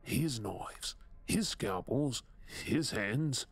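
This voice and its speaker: An Australian man acting a tormented, guilt-ridden captive mutant in an audio drama, speaking in a husky, breathy, subdued and halting way. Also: medium pitch, animated, dry recording, exaggerated voice. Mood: anguished resolve.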